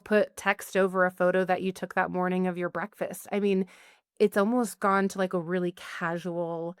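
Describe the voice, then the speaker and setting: A woman's voice: fairly low pitch, flat delivery, normal speed, clean tone, no echo, clean studio sound. A woman guest on a podcast, talking casually and chattily with many "like"s and "you know"s. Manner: chatty, lots of likes and you-knows. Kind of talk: casual interview chat.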